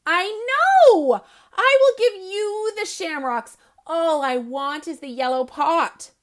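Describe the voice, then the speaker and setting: A proper, peculiar old-fashioned goat, voiced by a woman reading aloud. He talks loudly and primly, with a lively, wide-ranging lilt. His delivery is slow and deliberate. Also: high voice, heavily acted. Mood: cheerful. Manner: louder, proper and matter-of-fact. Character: odd, polite male goat who eats tin cans.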